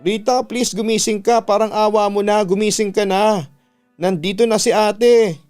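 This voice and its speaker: A man's voice: high-pitched, fairly even intonation, normal speed, darkish tone, clean tone, faint music behind, pitched above the performer's own voice, natural voice. A man voicing a young woman begging tearfully as a desperate, guilt-ridden older sister in a radio story. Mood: tearful pleading.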